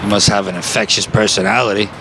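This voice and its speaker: An actor playing a blunt, wisecracking New construction worker in an audio play, with a sardonic, needling delivery. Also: male voice, lowish voice, little pitch movement, quick pace, close to an everyday voice. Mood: wry, needling. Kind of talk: naturalistic audio play dialogue. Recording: noisy city street with traffic. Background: urban street ambience, vehicles.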